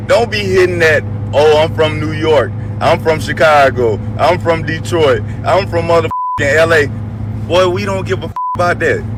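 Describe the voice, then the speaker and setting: Southern American man, fairly high voice, brash sweary rant, noisy traffic-like background. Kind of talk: casual comedic rant to camera.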